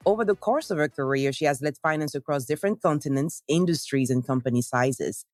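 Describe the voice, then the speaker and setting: A woman's voice: low voice, a little fast, a little mellow, no echo, clean studio sound, soft background music. A woman hosting a podcast interview in an upbeat, enthusiastic way. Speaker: podcast host.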